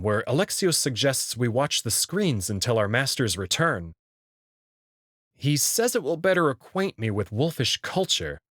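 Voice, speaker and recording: A man reading a visual novel aloud as its narrator, in an expressive storytelling style. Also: medium pitch, dry recording, clean studio sound. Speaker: narrator and reader.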